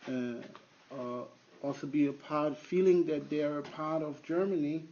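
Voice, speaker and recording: A man who works as a youth pastor, answering at length in a halting way with frequent restarts. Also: a little high, fairly even intonation, a little mellow, a little hiss or hum. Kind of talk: conversational interview answer. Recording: dull lo-fi recording.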